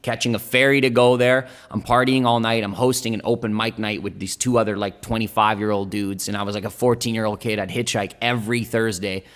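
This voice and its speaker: A man's voice: fairly low pitch, level tone, a little fast, few pauses, a bit bright, in a large hall. A young man whose first languages are German and Italian, telling his life story in a rambling, slangy way in a casual podcast interview. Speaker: guest rapper.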